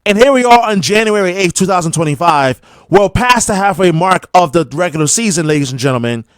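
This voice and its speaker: Higher-pitched male sports radio voice, dry sound. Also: no room sound. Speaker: sports radio host and update anchor. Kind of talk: sports commentary and scripted radio updates.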